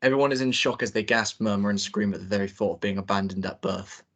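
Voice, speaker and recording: A man reading out the stage directions at a table read in a plain, steady way. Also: fairly low pitch, fast talker.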